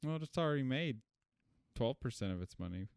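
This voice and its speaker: A man's voice: medium pitch, lively intonation, a little fast, creaky, darkish tone, clear, resonant tone, dry recording, studio quality. A man co-hosting a podcast and chatting loosely about a movie, full of restarts and fillers. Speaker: podcast co-host. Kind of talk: casual chat, movie review.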